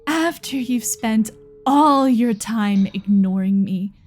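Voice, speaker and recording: A possessive yandere dating-sim girl, as a woman acts her out in an ASMR roleplay, her voice set fairly high. She drawls her lines very slowly, teasing and sweetly menacing. Faint music hums behind her. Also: a little mellow.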